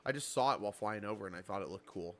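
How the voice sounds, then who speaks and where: A man's voice: on the low side, racing, faint music behind. A man of twenty-seven chatting casually on a game livestream, bantering playfully and swearing freely. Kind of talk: casual livestream chat while gaming.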